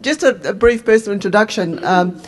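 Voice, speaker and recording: A middle-aged woman from Fiji with a New Zealand accent, speaking as a panelist in a relaxed, self-deprecating way into a handheld microphone in a conference room. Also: mid-range voice, a little fast. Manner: self-deprecating, laughs easily. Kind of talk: conversational panel talk.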